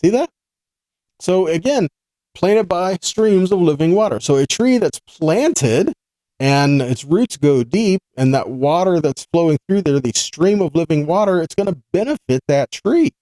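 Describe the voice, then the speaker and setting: A man's voice: on the high side, expressive, unhurried, mellow, dry recording, poor audio. A man teaching scripture in an informal, earnest sermon style, explaining conversationally with rhetorical questions and repeated points. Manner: conversational, asks rhetorical questions and checks in with listeners. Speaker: Bible teacher hosting a weekly scripture study.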